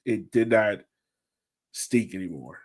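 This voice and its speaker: A man's voice: fairly low pitch, lively intonation, slow. Casual male sports podcast host. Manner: informal, conversational, chatty.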